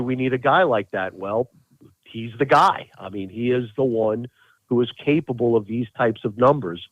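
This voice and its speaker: A man's voice: mid-range voice, no room sound. Male sports announcer as podcast guest: emphatic, conversational analysis, mid-range voice down a narrow phone line.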